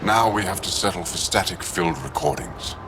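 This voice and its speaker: A man's voice: low voice, big pitch swings, brisk, gravelly, sharp tone, full character voice. A man playing a devoted alien researcher in a video game, explaining things with earnest, reverent expression. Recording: game ambience with vehicle noise.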